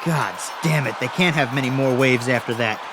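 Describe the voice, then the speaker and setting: An actor playing a gruff, wry general who gives brisk commands in an audio fantasy battle drama. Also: male voice, medium pitch, background noise, natural voice. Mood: urgent, wry. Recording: studio drama with prominent music bed.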